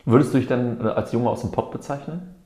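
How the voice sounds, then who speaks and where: Mellow man interviewing in an echoey room. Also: mid-range voice, quick pace. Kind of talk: casual conversational sports interview. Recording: roomy, echoing sound.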